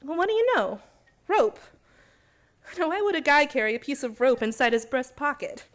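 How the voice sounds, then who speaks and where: A woman's voice: high voice, very animated, moderate pace. A woman playing a cunning young con artist who poses as a schoolgirl in a noir radio play, narrating and speaking in dialogue. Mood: smug. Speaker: actor in a community radio play.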